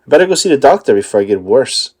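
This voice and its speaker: Guy putting on a sick little cartoon sponge, excitable and pleading, pitch jumps all over. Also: fast. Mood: excited. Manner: pleading, sneezing, exclamatory.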